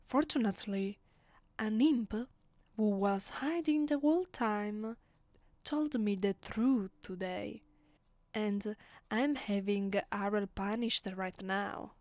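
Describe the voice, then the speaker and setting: A woman with an Italian accent voicing a haughty, commanding vampire mistress in a soft, often whispery ASMR roleplay, speaking with imperious deliberation. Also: medium pitch, no echo, lightly acted. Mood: cold anger. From Italy. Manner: imperious, deliberate, with lingering pauses. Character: haughty, commanding female vampire mistress addressing mortal slave. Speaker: voice actress. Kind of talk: ASMR roleplay, breathy slow monologue.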